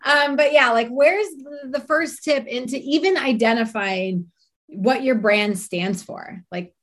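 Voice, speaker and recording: A woman's voice: high voice, unhurried, a bit bright. A woman hosting an interview in a casual, slangy, enthusiastic chat style. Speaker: podcast host interviewing a guest.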